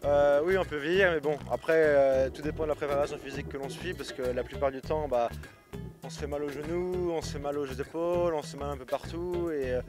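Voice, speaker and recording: A man's voice: on the high side, fairly even intonation, brisk, music in the background. A young man from France, a kitesurf athlete, answering interview questions casually with many fillers and restarts. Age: young adult. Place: outdoors at a beach resort.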